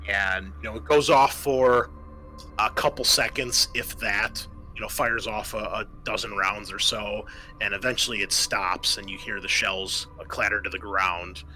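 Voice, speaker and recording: A man acting as game master, improvising narration and explaining rules, often pausing mid-sentence as he thinks. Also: lowish voice, fairly even intonation, pushed and bright, faint music behind. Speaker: game master and host of a tabletop roleplaying session. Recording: noticeable background room noise.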